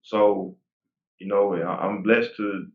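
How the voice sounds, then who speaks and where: A man's voice: on the low side, slow pace. A man in his forties, a guest bodybuilder, talking casually and rambling with many restarts. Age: 40s. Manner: relaxed, rambling with frequent restarts. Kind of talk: casual interview talk. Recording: tinny phone-like line, roomy echo.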